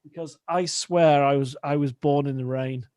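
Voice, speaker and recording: A man's voice: fairly high pitch, steady tone, moderate pace, darkish tone, no room sound, clean studio sound. A man hosting a podcast interview over a call, rambling and repeating words as he thinks aloud, tired after a long day of shooting. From United Kingdom. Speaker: podcast host. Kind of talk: casual remote interview chat.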